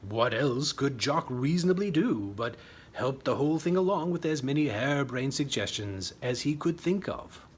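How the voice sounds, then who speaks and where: A man's voice: medium pitch, expressive, moderate pace, slightly rough. A man reading his own short story as a scripted narration, with a wry, tongue-in-cheek manner.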